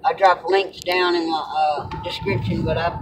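High-voiced Southern man over loud road noise. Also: fairly even intonation, normal speed. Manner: folksy, colloquial. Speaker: vlogger. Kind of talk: casual rambling chat while driving. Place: inside a moving car on a road. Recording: loud car road noise, distant mic.

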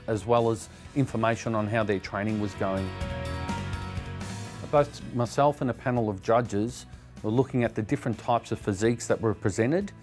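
A man's voice: mid-range voice, darkish tone. A middle-aged man, a personal trainer, explaining matter-of-factly in a sit-down interview. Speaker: fitness coach and personal trainer.

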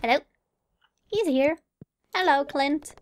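A woman's voice: high-pitched, slow. A woman giving casual let's play commentary as a host, chatty and a little hesitant, musing to herself. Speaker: gaming video host. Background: game music.

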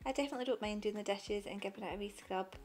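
A woman's voice: medium pitch, rapid-fire, darkish tone, faint music behind. A young woman narrating her cleaning vlog over the footage in a chatty, matter-of-fact way. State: pregnant. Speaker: cleaning vlogger.

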